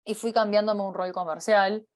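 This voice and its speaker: A woman in her thirties with a Uruguayan accent, giving a personal career talk, pausing often with fillers and restarting her phrases. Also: mid-range voice, steady tone. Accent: Uruguayan Spanish. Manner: hesitant, many fillers and restarts. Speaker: company partner giving a talk.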